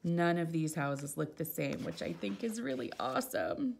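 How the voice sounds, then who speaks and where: A woman's voice: low-pitched, moderate pace, vocal fry. A woman reading a children's picture book aloud expressively, with casual asides about the pictures. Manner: expressive, exclaiming softly at the pictures.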